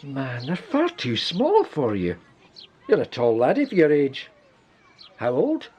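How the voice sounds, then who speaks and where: Hearty Scottish village doctor, swooping intonation. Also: male voice, medium pitch, huge pitch swings, slow, a little mellow, breathy edge, highs cut off, full character voice. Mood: brisk, gruff. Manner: hearty, avuncular, exclaiming. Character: kindly, brisk village doctor in wartime 1940s. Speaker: actor in a radio play. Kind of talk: radio play acting.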